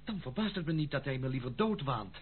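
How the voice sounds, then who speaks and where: An actor playing a disgraced, defensive prodigal son in a Dutch radio drama, speaking in a formal, earnest, protesting manner. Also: male voice, mid-range voice, animated, fairly quick, natural voice. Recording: tinny, noisy old radio play recording.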